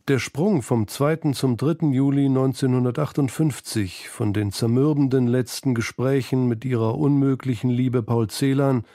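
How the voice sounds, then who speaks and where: Male narrator for literary feature. Mellow, mid-pitched, recorded dry. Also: no echo. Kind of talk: scripted literary documentary narration.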